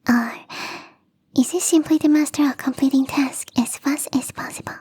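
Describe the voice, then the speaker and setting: A young catgirl gardener played by a woman murmurs in a high, soft and breathy voice with a mellow, rounded tone. She is gentle and earnest, with a teasing streak, and confides in the listener as if up close. The delivery is intimate ASMR roleplay acting, whispery and tender. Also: fairly even intonation, moderate pace, natural voice.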